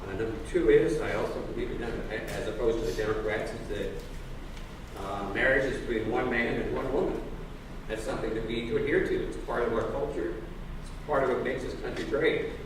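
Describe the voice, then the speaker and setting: Man, political candidate, halting delivery, roomy echo, far from the mic. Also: medium pitch. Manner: halting, many fillers and restarts. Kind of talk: forum speech. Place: public library forum room. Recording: echoey room, distant mic, noise.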